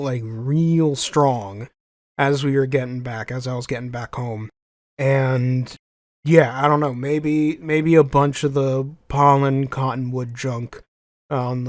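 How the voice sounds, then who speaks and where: A man's voice: medium pitch, no room sound, studio quality. A young man hosting his own podcast in casual solo chat, stuffy-nosed from a cold, rambling and repeating himself as he corrects his own phrasing. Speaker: podcast host. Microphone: home podcast microphone.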